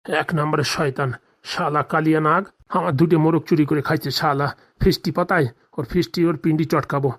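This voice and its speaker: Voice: medium pitch, steady tone, fairly quick, close to an everyday voice. A performer voicing a sly village man out to scrounge money in a comedy cartoon, nosy, gossipy and full of swearing. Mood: cursing.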